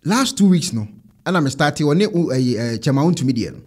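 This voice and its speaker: A man's voice: fairly high pitch, big pitch swings, fast talker, a little mellow. A Ghanaian man in his thirties hosting a casual online reaction show, speaking into a close studio microphone. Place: indoor studio setup. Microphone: close desk microphone.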